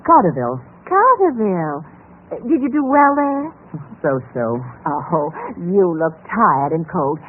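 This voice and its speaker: A woman's voice: lowish voice, very animated, measured pace. Casting: a courteous, mannered lady in a radio mystery, theatrical period delivery, tinny old recording. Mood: polite, eager. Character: two women: polite housewife and eager young saleswoman. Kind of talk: 1940s-50s radio drama acting. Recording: thin, noisy vintage radio recording.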